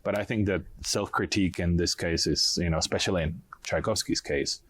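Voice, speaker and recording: Enthusiastic male conductor in relaxed conversation, low pitch, effusive delivery. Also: brisk, husky. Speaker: orchestra music director and conductor. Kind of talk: casual conversation about classical music.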